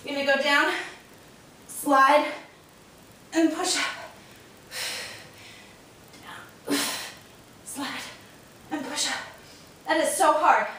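A young adult woman with a croaky voice from a cold, chatting casually and coaching a workout in a garage. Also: sits high, fairly even intonation, extremely slow, pauses often, fairly bright tone, slight background noise. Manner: chatty, upbeat, counts reps while exercising. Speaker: fitness vlogger. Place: large garage with metal door. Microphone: far camera. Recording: echoey garage from far off.

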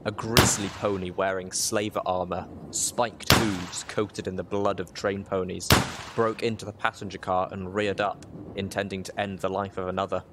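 A man with a fairly low voice narrates a story from a script in the first person. Clear noise sits behind his reading. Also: level tone, normal speed. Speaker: audiobook reader, show host.

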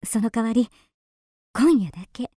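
A woman's voice: on the high side, lively intonation, unhurried, warm tone, no room sound, clean studio sound. An actress playing a lonely, dreamy woman lost in romantic fantasy, with polite, theatrical delivery in a radio drama. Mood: wistful, yearning.

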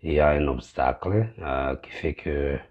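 Pastor, middle-aged man, very low and slow, muffled phone sound; gropes for words, French speaker. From Congo. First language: Kinyamulenge. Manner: halting, searching for words. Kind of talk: direct-to-camera address. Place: small indoor room.

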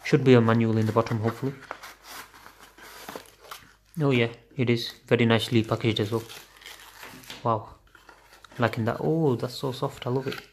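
Guy unboxing stuff on his phone at home late at night, flat offhand delivery, swears a bit. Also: medium pitch, moderate pace, long pauses, a little hiss or hum. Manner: offhand, swears casually, thinks aloud. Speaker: unboxing video host. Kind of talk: casual unboxing chat. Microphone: phone camera filming hands on a table.

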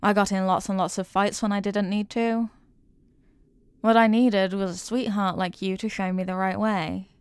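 A woman's voice: medium pitch, little pitch movement, normal speed, no echo, natural voice. Doting, possessive girlfriend, acted by a woman, close and dry. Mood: tender, soothing. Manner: tender, cooing, breathy sighs and pet names. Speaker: ASMR roleplay voice actor. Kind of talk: intimate girlfriend ASMR roleplay.

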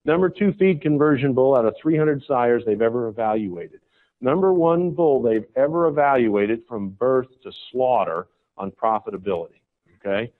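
A man's voice: expressive, normal speed. Folksy American man at a conference. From United States. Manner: folksy, persuasive, asks "okay?" often. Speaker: conference speaker, cattle breeder. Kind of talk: conference presentation. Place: conference hall stage. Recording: tinny, phone-like low-fi recording.

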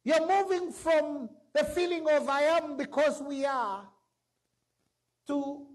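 A man's voice: very high voice, slow. A middle-aged man preaching a sermon from a church stage into a handheld mic, emphatic and rising to exclamations. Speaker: pastor.

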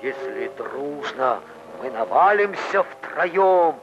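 A man playing a big, hungry, blunt bear in a 1940s animated fairy tale, gruff and declaiming in rhyme. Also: medium pitch, slight background noise. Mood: aggrieved, rallying. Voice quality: gravelly. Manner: slow, declamatory. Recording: dull old 1940s film soundtrack.

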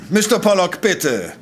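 A man's voice: high-pitched, full character voice. A man playing a courteous, formal, authoritative professor detective in a German audio drama. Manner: formal, precise, calm.

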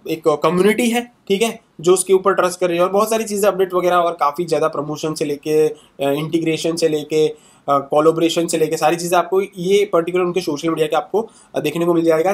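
A young man hosting his own channel, talking through charts in a casual, conversational way and closing many sentences with quick tags and fillers. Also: a little high. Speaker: crypto channel host. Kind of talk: casual screen-share market analysis.

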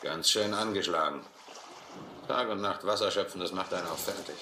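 A deep, sardonic sea captain. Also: male voice, moderate pace, bright, forceful tone. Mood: commanding. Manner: sardonic, condescending, commanding. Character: domineering sea captain, mocking, brutal, intellectual bully. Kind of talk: German audio adventure drama acting. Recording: roomy old radio-play recording, faint noise.

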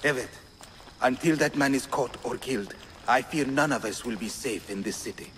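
Actor as somber apprentice, noisy background. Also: male voice, medium pitch, normal speed, fairly bright tone, natural voice. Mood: somber, worried. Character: wounded-pride Turkish assassin apprentice, somber and earnest. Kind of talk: cinematic video game acting.

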